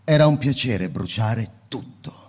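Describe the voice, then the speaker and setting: Man doing a fireman telling his story, slow and intense, music under it, middle pitch. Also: animated, close to an everyday voice. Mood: exalted. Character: fireman who burns books, middle-aged man. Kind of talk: radio drama narration with music. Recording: narration over live music.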